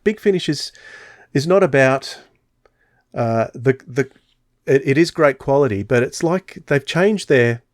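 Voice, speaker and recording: A man's voice: mid-range voice, expressive, a little slow, no echo, clean studio sound. A man hosting a podcast, chatting casually and telling stories with many fillers. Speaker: podcast host.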